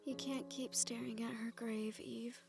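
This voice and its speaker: A woman acting an affectionate young fantasy fighter in an intimate audio roleplay, speaking softly with tender, playful warmth and an undertow of grief. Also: fairly high pitch, little pitch movement, unhurried, creaky, music in the background. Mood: grieving, tender. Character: affectionate young woman, supernatural fighter, grieving yet teasing.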